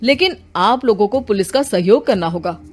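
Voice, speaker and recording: A woman's voice: mid-range voice, animated, normal speed, lightly acted. A woman takes the part of a senior police officer in a cartoon tale. He sounds methodical and official, putting questions with authority over background music. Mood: official, firm. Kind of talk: Hindi animated moral story dialogue.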